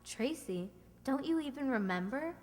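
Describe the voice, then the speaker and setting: A woman's voice: high-pitched, lively intonation, moderate pace, a little mellow. A woman playing an eager, gossipy young kid sister in a radio comedy, telling tales in an earnest, confiding way. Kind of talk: 1940s radio comedy acting. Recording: echoey hall stage recording.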